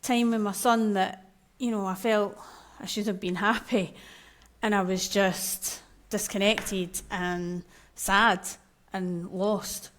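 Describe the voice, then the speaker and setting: A woman with a Scottish accent, talking casually as a podcast guest. She tells her story openly and with animation, at a pitch typical for a woman. She sounds like she is in her thirties. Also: steady tone, takes it slowly. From Scotland. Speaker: podcast guest, maternal mental health coach.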